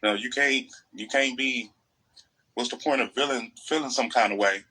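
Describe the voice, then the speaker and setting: A man's voice: medium pitch, moderate pace, pushed and bright, slightly rough, rough recording. An American man chatting casually as a podcast co-host, making opinionated points emphatically and repeating phrases.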